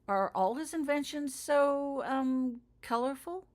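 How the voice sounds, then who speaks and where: A woman's voice: high voice, slow, voice pushed up. A woman voicing an earnest, probing schoolgirl witch in a storybook reading, with careful, hesitant questions.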